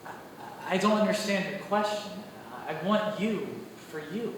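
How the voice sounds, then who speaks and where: A man's voice: high voice, measured pace. An actor playing an awkward man in love who stammers and hesitates, heard distant in a roomy echo with background noise. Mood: hesitant. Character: awkward man in love, writer of her program. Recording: distant, echoey, noisy.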